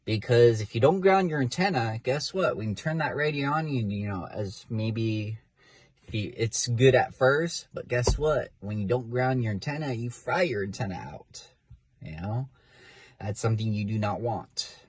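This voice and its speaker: A man's voice: mid-range voice, animated, normal speed, husky, no echo. A man talking casually from inside his car in his first vlog, rambling with lots of fillers and restarts. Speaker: vlogger, CB radio hobbyist.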